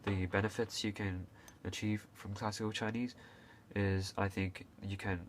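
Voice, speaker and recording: Low-voiced young man, halting casual talk. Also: level tone, a little hiss or hum. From Hong Kong. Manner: hesitant, many fillers and restarts, occasional coughs. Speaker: video creator. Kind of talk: casual unscripted talk to camera.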